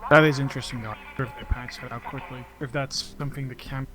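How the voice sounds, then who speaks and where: A man co-commentating on a game stream, talking casually and analytically in measured, explanatory phrases. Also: mid-range voice, steady tone.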